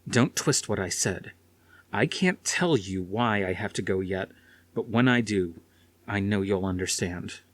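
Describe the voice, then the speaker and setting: A male reader plays an exasperated boyfriend in an audiobook story. His voice sits fairly low. He talks with cool control and a dismissive edge. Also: unhurried, dry recording. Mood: cool, impatient. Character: cool, exasperated career man arguing with his girlfriend.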